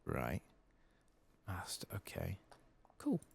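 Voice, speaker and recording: A man softly narrating a video game playthrough in ASMR style, murmuring and musing hesitantly to himself as he plays. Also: deep voice, huge pitch swings, slow, vocal fry, a little hiss or hum. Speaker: gaming channel host. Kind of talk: soft-spoken ASMR game playthrough commentary.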